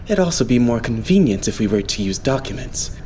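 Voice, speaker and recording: Actress doing calm young male idol, deep, lively intonation, polite, anime fan dub, slight background noise. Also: a little fast. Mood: polite, practical. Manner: polite, reasonable.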